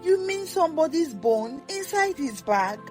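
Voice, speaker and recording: A woman's voice: sits high, sing-song, unhurried, darkish tone, soft background music, voice pushed up. A woman voicing an elderly Nigerian grandmother in a folktale, firm, wise and given to exclamations. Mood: shocked, insistent. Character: elderly Nigerian grandmother, calm, firm, proverb-speaking, easily shocked.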